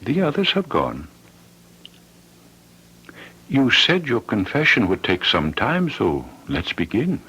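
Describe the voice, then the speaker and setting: An actor playing a fussy, stern village priest, husky and hesitant, stammering and admonishing in radio drama style. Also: male voice, on the low side, huge pitch swings, slow pace, pauses often, pushed and bright, heavily acted, poor audio. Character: fussy, stern village priest hearing confession, easily flustered. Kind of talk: old-time radio drama acting.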